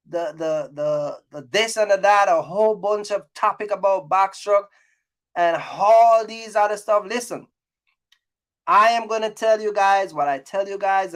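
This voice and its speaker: High-pitched man in casual vlog talk. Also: a bit bright. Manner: repetitive, emphatic, colloquial, chuckles at own points. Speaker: YouTube host, trucking business creator. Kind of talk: casual opinionated vlog monologue.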